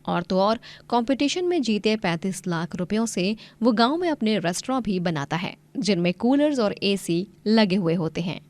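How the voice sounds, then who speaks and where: Female narrator, middle pitch, scripted storytelling, moral tale. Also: expressive, normal speed, few pauses, darkish tone.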